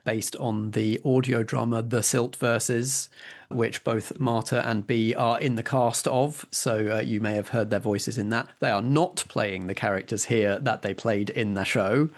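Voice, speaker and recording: A man's voice: medium pitch, steady tone, measured pace. A man hosting a roleplay podcast, introducing things in a presenter's style that loosens into chat.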